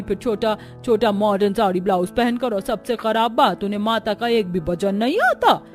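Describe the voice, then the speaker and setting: A woman's voice: medium pitch, a little mellow, natural voice. A woman playing a judgmental middle-aged village aunty in a cartoon, gossipy and disapproving. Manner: scandalized, disapproving.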